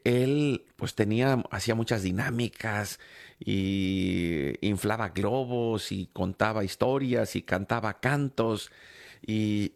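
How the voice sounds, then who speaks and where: A man's voice: lowish voice, creaky. A man hosting a faith program, telling a personal story in a reflective, hesitant way with many fillers and restarts.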